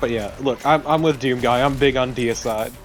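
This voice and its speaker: Mid-pitched man bantering over gameplay. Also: normal speed. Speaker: let's play co-commentator. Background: game music.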